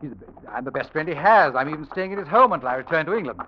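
An actor playing a smooth, scheming young houseguest from England in an old-time radio drama, suave with a threat underneath. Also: male voice, on the high side, lively intonation, very fast, natural voice. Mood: smooth, wooing. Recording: dull, noisy old radio recording.

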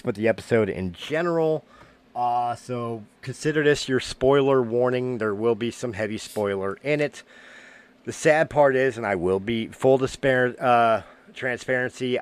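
A man in his forties, getting over a cold, giving a rambling casual review with lots of filler words and self-corrections. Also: medium pitch. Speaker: fan reviewer.

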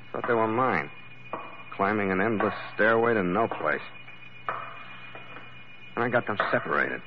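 An actor playing a tough, world-weary ship's skipper in a hardboiled radio drama, terse and sardonic. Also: male voice, lowish voice, close to an everyday voice. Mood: groggy narrating. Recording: muffled, noisy old radio recording.

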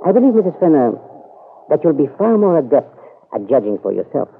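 A man playing a discreet manservant in an old-time radio soap, formal, measured and tactfully evasive. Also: fairly high pitch, big pitch swings. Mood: guarded, tactful. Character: discreet, deferential gentleman's manservant, evasive and gallant. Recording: tinny, noisy old radio broadcast.

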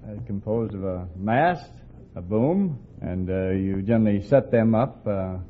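Halting man on a tinny old recording. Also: on the low side, expressive, a little hiss or hum. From California. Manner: halting, plain-spoken. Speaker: construction worker, quiz contestant. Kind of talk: hesitant conversational interview answers. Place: radio studio before a live audience.

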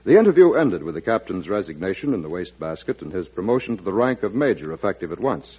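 A man's voice: low-pitched, sing-song, quick pace, breathy edge. A man announcing and telling a story in an emphatic, polished old-time radio style. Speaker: announcer and quiz host. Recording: muffled vintage radio broadcast.

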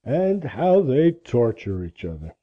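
A woman voicing a stubborn old country man with a husky voice and folksy phrasing, in a storybook reading. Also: fairly low pitch, wildly swooping pitch, slow, muffled recording, pitched below the performer's own voice, full character voice. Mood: tense, sullen.

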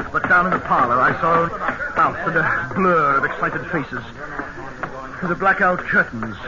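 An actor playing a guarded army colonel in a vintage radio drama, with clipped, formal, halting speech on an old broadcast recording. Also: male voice, on the high side, muffled recording, noisy background, close to an everyday voice. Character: British army colonel, guarded, professing remorse over killing a friend. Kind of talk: 1940s-50s radio drama acting with narration.

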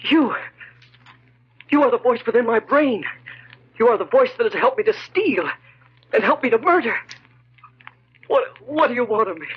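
An actor playing a guilt-ridden, desperate thief and murderer, with halting, melodramatic 1940s radio delivery. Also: male voice, extremely high pitch, lively intonation, slightly rough, full character voice. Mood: fearful pleading. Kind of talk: 1940s radio horror acting. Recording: muffled old radio recording.

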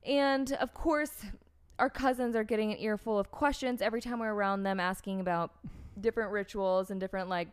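A woman's voice: medium pitch, steady tone, a little fast, smooth. A young American woman telling a personal story in casual conversation, rambling and leaning on "like" and "um" as she restarts her sentences. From United States. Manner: rambling, heavy use of "like" and "um", frequent restarts.

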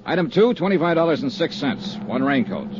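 Dry 1950s radio private eye, lively up-and-down delivery, muffled old broadcast sound. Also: male voice, on the high side, fairly quick, background noise. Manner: dry, matter-of-fact narration. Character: brisk, wisecracking male insurance investigator. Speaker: insurance investigator and narrator. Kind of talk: 1950s radio drama acting.

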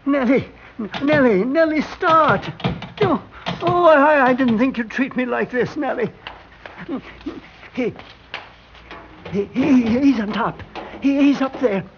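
An actor playing a stubborn, eccentric old elevator operator who stammers and mutters in singsong, in a classic radio drama. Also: male voice, very high-pitched, slow, pauses often, exaggerated voice. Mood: frantic. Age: older. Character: crazy old elevator operator, stubborn, fond of his lift. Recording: dull, noisy old radio recording.

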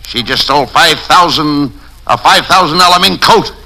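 An actor playing an excitable, gullible police lieutenant, the animated straight man in an old-time radio comedy. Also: on the high side, moderate pace. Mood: eager. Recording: noisy, crackling vintage radio recording.

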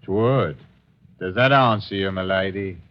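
An actor playing a roguish British army deserter and hired killer in an old radio drama, mock-courtly and archaic in speech. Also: male voice, deep voice, unhurried. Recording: dull lo-fi old radio recording.

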